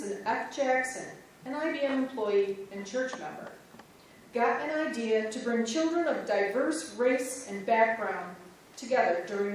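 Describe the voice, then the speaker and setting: A woman recites a script in a clear, earnest voice pitched on the high side. She stands well back from the microphone in a reverberant church. Faint hiss sits underneath. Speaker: reader's theater performer. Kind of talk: scripted reading of church history. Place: church sanctuary. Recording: echoey church from far off.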